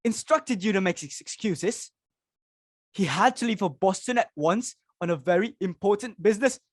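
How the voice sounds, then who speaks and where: A woman's voice: mid-range voice, expressive, moderate pace, no echo, lightly acted. Frantic, domineering society matron played by a woman, grand and exclamatory stage-comedy delivery, dry recording. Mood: indignant, furious. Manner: theatrical, self-important, exclamatory. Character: imperious, frantic society hostess and domineering mother, married woman. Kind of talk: dramatic reading of a stage comedy.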